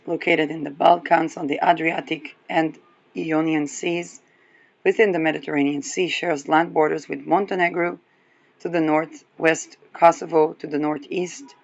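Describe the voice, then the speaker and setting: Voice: mid-range voice, little pitch movement, normal speed, vocal fry, dull audio. A person giving a casual, rambling astrology talk, chuckling often between remarks. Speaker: astrology reader.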